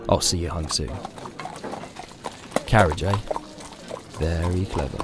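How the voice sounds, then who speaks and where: Deep, gruff sardonic tracker played by a man. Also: fairly even intonation, takes it slowly, over loud music. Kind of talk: radio drama acting.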